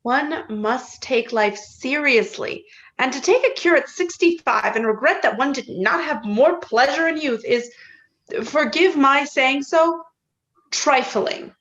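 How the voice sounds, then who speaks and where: A woman playing a dismissive, philosophizing country doctor in a play reading over a video call, measured and matter-of-fact. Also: sits high, fairly bright tone. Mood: lecturing. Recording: slightly dull video-call audio.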